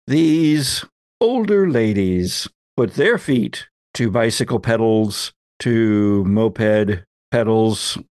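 A man's voice: fairly low pitch, expressive, extremely slow, a little mellow, dry recording, clean studio sound. A man hosting as game master, narrating a tabletop roleplay story in a theatrical, folksy storyteller's way. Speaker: podcast host and game master.

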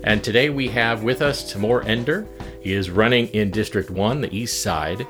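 A man's voice: on the low side, normal speed, music in the background. A male podcast host who talks casually and chuckles between his questions. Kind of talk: podcast hosting.

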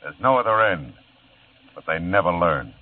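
A man's voice: low-pitched, expressive, moderate pace. A man giving a stern, hard-boiled announcement for a 1950s radio drama. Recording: tinny old radio recording with hiss.

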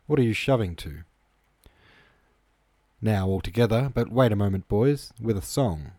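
A rough, bossy soldier, acted out by a man reading a storybook, barks hearty orders to his fellow haulers. The voice is mellow and dry. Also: lowish voice, animated, normal speed, no room sound. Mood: hearty urging. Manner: barking orders, coarse and hearty. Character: rough, bossy male soldiers hauling a heavy load.

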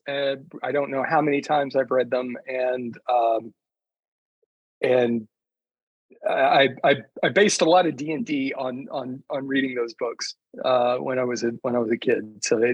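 A man with a husky, mid-pitched voice chats as a podcast guest. He speaks haltingly, and the audio is a little dull. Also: steady tone, no room sound. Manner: halting, hesitant delivery. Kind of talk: casual chat. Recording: dull, band-limited audio.

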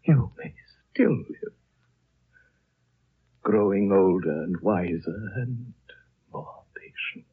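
An actor playing an ancient, dying High Lama in a 1930s radio drama, gentle and prophetic, speaking solemnly in a frail, husky, hushed voice. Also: male voice, full character voice. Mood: prophetic, fading. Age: older. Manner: slow, solemn, hushed, with long pauses. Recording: muffled, band-limited 1930s radio recording.

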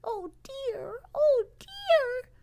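A woman's voice: very high voice, sing-song, darkish tone, a little hiss or hum, voice pushed up, exaggerated voice. A woman voicing a lost baby beaver girl in a children's story, with a tiny, plaintive, whimpering voice. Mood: crying, forlorn. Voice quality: tiny, weeny voice. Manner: plaintive, whimpering, drawn-out wailing.

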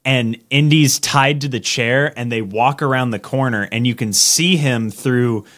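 A man's voice: mid-range voice, measured pace, a bit bright. A man talking casually and giving his opinions as co-host of a movie podcast.

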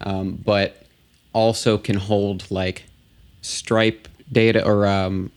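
Low-voiced man musing in casual chat. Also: flat delivery, slow, smooth. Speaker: podcast co-host, DJ.

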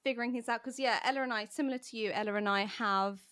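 A woman's voice: fairly high pitch, quick pace, dry recording. Affirming woman host, dry close sound. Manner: warmly affirming, many yeahs. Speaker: interview host. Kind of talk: casual interview.

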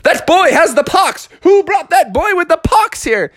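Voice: mid-range voice, a little slow, pitched above the performer's own voice, natural voice. A storyteller voicing alarmed, accusing hotel guests who exclaim in a children's story. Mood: outraged.

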